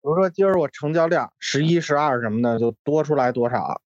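A man's voice: on the high side, a little fast, dry recording. A man in his thirties chatting casually as a podcast guest, blunt, opinionated and sweary, on a slightly muffled recording. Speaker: podcast guest with workplace experience. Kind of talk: casual chat.

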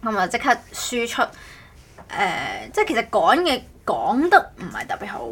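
A female host with a Cantonese accent and a slightly husky voice. Her pitch moves around in a lively way as she chats casually, often stopping to rephrase. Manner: halting, self-correcting. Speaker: podcast host. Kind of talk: casual chat about making an audio show.

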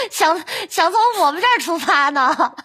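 A woman's voice: extremely high pitch, very animated, slow pace, bright, forceful tone. A woman co-hosting a podcast in playful, casual conversation. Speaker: podcast co-host.

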